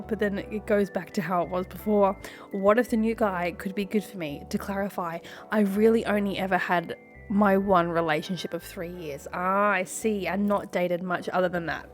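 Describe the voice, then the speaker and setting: A young woman with a croaky voice from a cough, reading online posts aloud and reacting with sassy asides. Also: medium pitch. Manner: sassy, laughs often, mutters asides. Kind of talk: casual reaction commentary.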